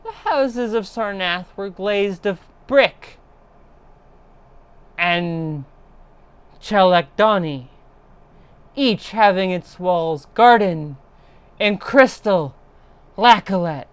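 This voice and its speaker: Slow, clear-voiced woman reading horror fiction. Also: medium pitch, no echo. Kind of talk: dramatic literary horror story reading.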